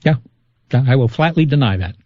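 A man's voice: mid-range voice, expressive, fairly quick, dry recording, highs cut off. A man speaking as an astronomer guest on a radio talk show, chatty and laughing often, with many restarts. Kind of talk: casual radio interview.

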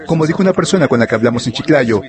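A man giving a voice-over interpretation of an interview, relaying it in a steady, fluent way. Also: mid-range voice, rapid-fire, crowd noise.

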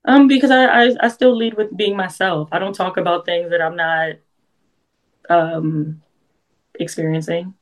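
A woman, a guest songwriter chatting casually in an interview, laughing often and talking in quick bursts with restarts. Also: on the low side, steady tone.

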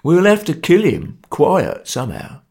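A grim Cockney ringleader, voiced by a male narrator, with creaky, rough tone. He bosses people sternly and menacingly in few words. The delivery suits a storybook villain. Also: a little high, wildly swooping pitch, measured pace, darkish tone, pitched above the performer's own voice, heavily acted. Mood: cold menace. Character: grim, domineering male ringleader of bungling conspirators.

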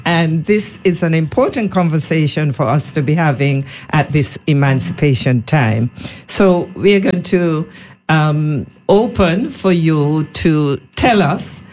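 A woman with a Caribbean accent hosting a live radio panel in a measured, deliberate, formal way. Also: sits low, lively intonation, a little mellow. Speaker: radio host. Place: radio studio. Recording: faint background noise.

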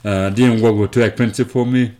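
A man with a South Sudanese accent telling his story as an interview guest, haltingly and with repeated words. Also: mid-range voice, fairly even intonation, warm tone, slight background noise. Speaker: interview guest, foundation founder. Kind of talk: conversational storytelling.

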